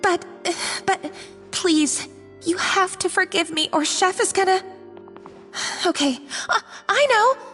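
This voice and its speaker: A woman's voice: very high voice, animated, slow, sharp tone, exaggerated voice. A woman voicing a timid young junior chef in a video game, tearful, stammering and pleading apologetically. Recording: music behind the voice.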